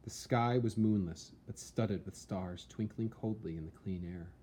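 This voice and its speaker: Man, mellow soft-edged voice, dramatic narration, measured theatrical delivery, historical storytelling. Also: on the low side, slight background noise. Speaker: reader, narrator.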